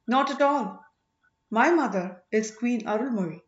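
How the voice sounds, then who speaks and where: An earnest banished young king as a woman reader plays him speaks courteously and with devotion. The voice is fairly high, in storybook dialogue with noticeable room echo and a slightly dull sound. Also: lively intonation, measured pace. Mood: astonished, courteous. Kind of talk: audiobook character dialogue. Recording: roomy, slightly dull home recording.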